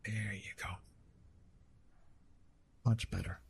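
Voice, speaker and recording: A man playing a retired immortal Greek god of love in an intimate ASMR roleplay, speaking to the listener in a soothing, gently teasing, conversational way. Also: on the low side, steady tone, slow pace, creaky, a little hiss or hum. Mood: caring, soft.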